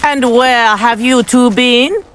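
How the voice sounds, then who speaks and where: A woman voicing a strict but fair flying teacher in a video game, crisp and authoritative. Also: a little high, slow pace, a bit bright, a little hiss or hum. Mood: stern. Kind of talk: video game character acting.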